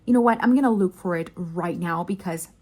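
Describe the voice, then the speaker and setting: A woman with a Mexican accent, a native Spanish speaker, telling an anecdote in a casual, chatty way, animated and often interrupting herself with asides. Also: fairly low pitch, fairly quick. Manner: animated, digressive, self-interrupting. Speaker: vlogger and crafter, telling work anecdotes.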